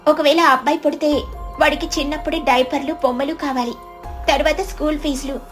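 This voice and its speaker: A woman's voice: high voice, fairly even intonation, brisk, soft background music, natural voice. A woman voicing an anxious, thrifty young wife sparrow in a cartoon story, with a plaintive, earnest manner. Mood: worried calculating.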